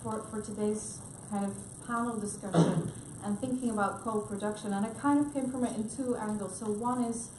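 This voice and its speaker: A woman's voice: mid-range voice, fairly even intonation, moderate pace, hardly any pauses, a little mellow. A woman speaking as a researcher on a panel, thoughtful and hesitant, with frequent restarts. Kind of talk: panel discussion remarks. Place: conference room, panel event. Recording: echoey room with noise.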